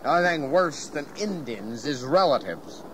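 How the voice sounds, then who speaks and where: A wry, jovial ship's captain in a historical audio drama. He is courteous and independent, with a fairly high male voice. Clear noise runs behind him. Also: very animated, normal speed.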